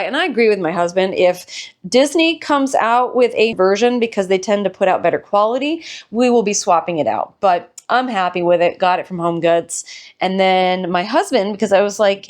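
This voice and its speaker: A woman hosting her channel, giving a chatty, rambling home tour while hoarse from recovering from an illness. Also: mid-range voice. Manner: bubbly, rambling, self-deprecating. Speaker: host of a home decor channel.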